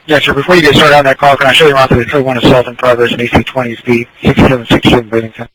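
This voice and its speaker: A man's voice: mid-range voice, level tone, rapid-fire, fairly bright tone, a little hiss or hum, poor audio. A man working as a police dispatcher, relaying calls in clipped procedural phrases over a scanner radio. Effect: police radio transmission.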